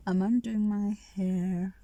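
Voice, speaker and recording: A woman with a nasal voice chatting in a soft, rambling ASMR style, restarting her sentences and often slipping into whispers. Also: mid-range voice, dry recording. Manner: soft-spoken, drifting into whispers. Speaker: ASMR creator.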